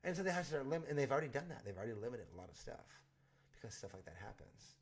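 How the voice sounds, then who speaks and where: A man hosting a casual livestream, pausing meeting footage to comment on it in a chatty, joking way. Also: mid-range voice, big pitch swings. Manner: chatty, joking, fast asides. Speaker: livestream host.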